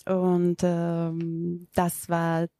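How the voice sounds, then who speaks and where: Guest voice: woman in her thirties, Macedonian accent, low gravelly tone, halting and searching for words. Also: completely flat delivery, dark tone, dry recording. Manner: hesitant, many ums and restarts. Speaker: yoga teacher, interview guest. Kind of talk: casual interview answers.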